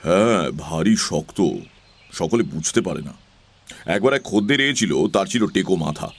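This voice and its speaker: Pompous, swooping cartoonish crow. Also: male voice, low voice, animated, a little slow, husky, heavily acted. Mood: self-important, explaining. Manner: pompous, theatrical, officious delivery. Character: pompous, fussy talking crow, a self-important bookkeeper. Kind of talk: theatrical children's audio story acting.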